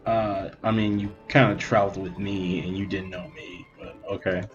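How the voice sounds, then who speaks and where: A man's voice: low-pitched, little pitch movement, moderate pace. A man giving casual, wry, joking commentary as he plays through a video game. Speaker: gaming video maker. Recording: dull mic, game music behind.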